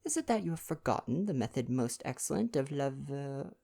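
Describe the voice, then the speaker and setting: Guy doing a fussy vain belgian detective, proud n theatrical, bit of gravel, storybook reading. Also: mid-range voice, sing-song, normal speed, dark tone, pitched above the performer's own voice. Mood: earnest. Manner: pompous, emphatic, formal phrasing.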